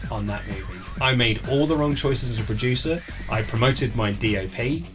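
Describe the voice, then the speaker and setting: British male, 30s, mid-pitched, anecdotal interviewee who acts out quotes, clear music bed underneath. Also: normal speed. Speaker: interview guest, author. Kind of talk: casual anecdotal interview chat. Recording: music playing behind the voice. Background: background music.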